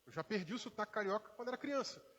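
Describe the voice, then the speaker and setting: High-voiced preacher in a reverberant church. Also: male voice, animated, very fast, fairly bright tone. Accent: mixed Brazilian Portuguese, partly Minas Gerais. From Brazil, born in Rio, raised in inland Minas Gerais. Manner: conversational preaching with acted quotes and asides. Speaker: pastor. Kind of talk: sermon.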